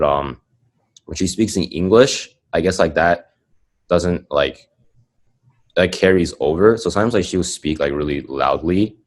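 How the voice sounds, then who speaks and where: A young man with a very deep, low voice talks in a loose, rambling way, leaning hard on "like" and "um". It is easygoing group chat. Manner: rambling, heavy use of "like" and "um". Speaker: podcast guest. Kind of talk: casual chat.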